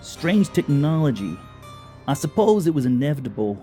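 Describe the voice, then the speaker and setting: Man acting a mellow, theatrical villainous detective. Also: a little high, very animated, moderate pace, faint music behind. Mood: sly, explanatory. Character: alien protoform villain posing as a brusque American police detective. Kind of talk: audio drama acting.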